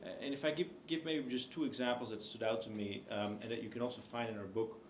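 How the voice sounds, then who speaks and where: A man's voice: medium pitch, fairly even intonation, fast. Man speaking on a panel in an echoey hall. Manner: hesitant, many fillers and restarts. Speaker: book co-author on a panel. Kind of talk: panel discussion. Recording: echoey hall, faint noise.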